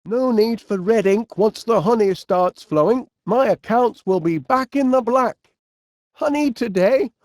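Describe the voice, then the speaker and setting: Eccentric cartoon game inventor, Northern English accent, very animated intonation, slow pace, cheerful, chummy, genteel. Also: male voice, high voice, no echo, studio quality, heavily acted. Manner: chummy, genteel old-fashioned phrasing, musing aloud. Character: cheerful eccentric middle-aged English inventor, fond of his dog. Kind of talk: animated video game character voice lines.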